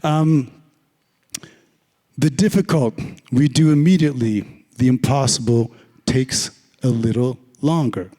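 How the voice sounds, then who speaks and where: Hoarse male speaker, raspy and mellow, loose joking delivery, long hall reverb. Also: medium pitch, slow, pauses often. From Canada. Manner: casual, joking, filler-heavy. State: hoarse, lost voice. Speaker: conference speaker. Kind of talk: conference talk. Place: large conference hall.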